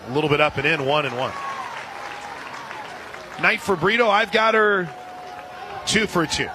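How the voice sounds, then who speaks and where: An American man calling live softball play-by-play on the radio, slipping into conversational asides between pitches. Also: animated, normal speed. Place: outdoor softball stadium. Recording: noisy outdoor stadium broadcast, loud crowd. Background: crowd and stadium noise.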